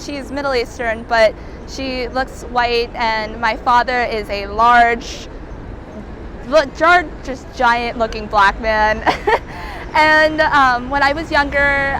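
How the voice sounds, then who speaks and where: A young woman with a high voice gives earnest, candid answers in an interview, now and then pausing on fillers. She is recorded outdoors on a city street, with faint traffic noise behind her. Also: a bit bright, rough recording. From California.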